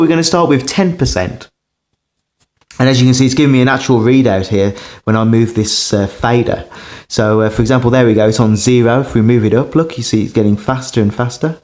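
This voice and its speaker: A man giving a casual hands-on demonstration as a record collector, pausing and hesitating as he talks. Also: mid-range voice, darkish tone. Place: indoors beside a turntable.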